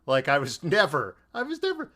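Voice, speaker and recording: Animated man chatting about films. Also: fairly high pitch, brisk. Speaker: podcast co-host. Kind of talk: casual film discussion, wry commentary.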